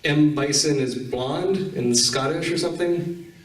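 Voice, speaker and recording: Male panel host, casual and halting, echoey room, picked up from a distance. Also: a little high. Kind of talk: informal convention panel discussion. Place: convention panel room with audience.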